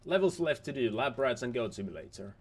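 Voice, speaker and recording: A young man, a little hoarse from losing his voice, giving casual rambling commentary over game footage, repeating himself and restarting words. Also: mid-range voice, expressive, a little fast. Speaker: gaming video host.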